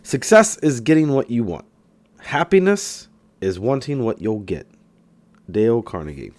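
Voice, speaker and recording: A man reading a self-help book aloud in scripted narration, with emphatic, deliberate phrasing. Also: mid-range voice, big pitch swings, darkish tone. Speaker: audiobook reader.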